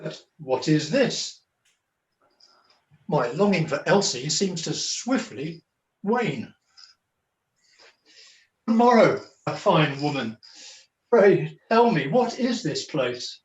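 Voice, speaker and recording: A man's voice: fairly high pitch, very animated, slow pace, long pauses, echoey room. A man playing a weary, melodramatic jester in a comic pantomime, speaking in flowery mock-archaic phrases over a video call. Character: melodramatic weary jester, a strolling player on a quest.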